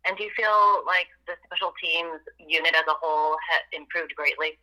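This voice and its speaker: A man's voice: fairly high pitch, level tone, normal speed, bright, forceful tone. A man, a sports reporter, putting questions to a coach on a conference call over a thin telephone line.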